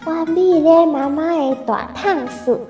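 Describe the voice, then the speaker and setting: Giggly little taiwanese kid for radio play, slow n bouncy, roomy echo. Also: female voice, extremely high pitch, mellow, soft background music. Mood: gleeful. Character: gleeful little girl playing hide-and-seek. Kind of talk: radio drama acting.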